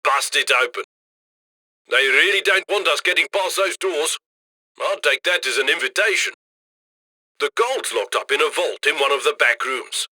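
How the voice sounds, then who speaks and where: Video game criminal handler: upbeat, cocky male, high-set and forceful, very dry close sound, slick conversational mission directions. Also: no echo, heavily acted. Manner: slick, conversational, egging the crew on.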